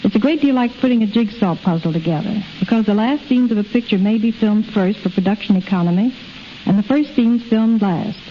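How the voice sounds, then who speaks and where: A woman's voice: medium pitch, a little fast. A middle-aged woman reading scripted answers as a guest in a 1930s radio interview. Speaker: film editor, interview guest. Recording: muffled, hissy 1930s radio recording.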